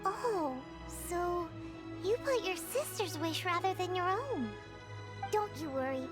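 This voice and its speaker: A woman voicing a tiny, eager, childlike fairy companion in a video game, squeaky and excitable. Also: slow pace, exaggerated voice. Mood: chirpy, reassuring. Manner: excitable, refers to self in third person. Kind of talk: animated video game dub acting. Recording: music behind the voice.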